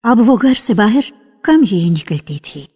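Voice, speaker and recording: A woman reading a literary story for radio in a measured, expressive narrating style, on an archival recording. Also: mid-range voice, husky, faint music behind. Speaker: narrator of a dramatized short story.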